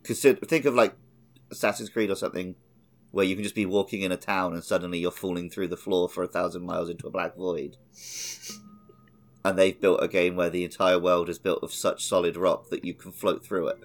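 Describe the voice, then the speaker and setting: A man's voice: low voice, level tone, fairly quick. A man chatting casually as host of a gaming podcast, with a blunt, opinionated and sweary manner. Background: background music.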